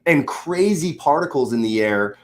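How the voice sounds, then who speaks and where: A man with a nasal voice, guesting on a casual chat and talking in long animated run-ons. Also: a little high, moderate pace. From Southern California.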